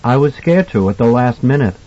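A man's voice: medium pitch, expressive, brisk, dark tone, dry recording, lo-fi sound, lightly acted. A man voicing an earnest, puzzled little boy who speaks politely and innocently in an audiobook. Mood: eager, candid. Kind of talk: audiobook character acting.

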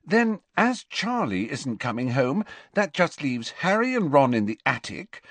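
A man's voice: mid-range voice, big pitch swings, measured pace, a bit bright, dry recording, pitched above the performer's own voice, full character voice. A man voicing a harried, scolding middle-aged mother in a storybook, fussing and maternal. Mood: harassed.

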